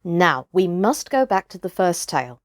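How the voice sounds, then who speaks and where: A woman reading a children's story aloud as a storyteller, with a deliberate, dramatic delivery. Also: fairly low pitch, sing-song, no room sound, studio quality. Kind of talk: expressive children's audiobook narration.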